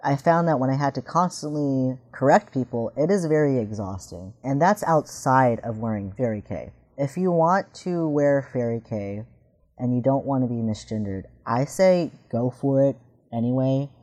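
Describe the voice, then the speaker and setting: A man in his twenties with a deep voice, speaking candidly in a casual discussion and thinking aloud with restarts. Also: normal speed, a little mellow. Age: 20s.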